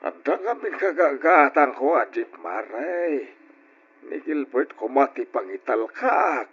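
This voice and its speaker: A man's voice: mid-range voice, animated, takes it slowly, a bit bright, husky, heavily acted. A man playing a concerned family friend with an Ilocano accent in an old radio drama. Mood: concerned, sympathetic. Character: concerned male family friend, jeepney owner seeking a driver. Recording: dull old radio drama, faint noise.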